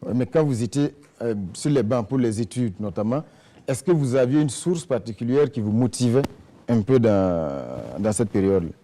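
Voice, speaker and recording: A man's voice: medium pitch, moderate pace, dark tone. A man hosting a formal TV interview show, asking long, wordy questions with fillers. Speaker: TV talk show host.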